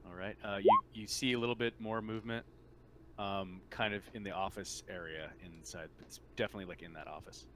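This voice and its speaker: Low-voiced man, noisy recording. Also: steady tone, fairly quick. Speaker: game master. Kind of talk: narrating a tabletop roleplaying game, conversational. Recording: noticeable background noise.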